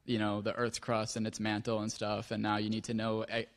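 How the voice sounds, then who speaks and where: Male panelist, even flat tone, halting thoughtful answer. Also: lowish voice. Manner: hesitant, many restarts. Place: lecture hall.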